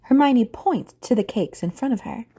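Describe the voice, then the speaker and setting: A woman's voice: on the high side, lively intonation, moderate pace, mellow, breathy edge. A woman narrating an audio drama from a script as a storyteller.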